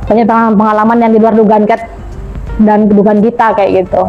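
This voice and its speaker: A woman's voice: mid-range voice, level tone, fast talker, dull audio, soft background music. An Indonesian woman speaking as a podcast guest, chatting and telling stories, often addressing the host as "Kak" and mixing in English words. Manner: chatty, storytelling, often adds "Kak" to her sentences. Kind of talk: casual podcast chat.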